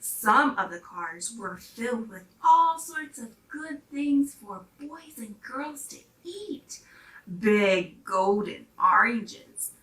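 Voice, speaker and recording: A woman reading a children's story aloud in an expressive storytelling style. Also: on the high side, slow pace. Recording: roomy, distant microphone.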